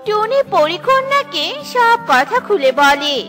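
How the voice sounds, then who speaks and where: A woman's voice: extremely high pitch, sing-song, slow pace, a bit bright, faint music behind. A woman narrating a scripted children's story.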